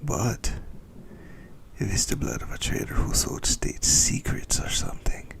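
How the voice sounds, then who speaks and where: A man playing a possessive, doting ancient vampire in a breathy, whispered ASMR roleplay, teasing and intimate as he savors his words. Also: measured pace, fairly bright tone, close to an everyday voice. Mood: relishing, intimate. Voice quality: breathy whisper with gravelly creak.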